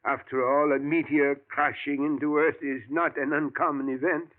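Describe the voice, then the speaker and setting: An actor playing an elderly male professor, a thoughtful scientist who talks in a measured way and chuckles often, in a 1940s radio drama. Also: fairly high pitch, flat delivery, close to an everyday voice. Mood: confiding. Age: older. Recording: tinny lo-fi old radio broadcast.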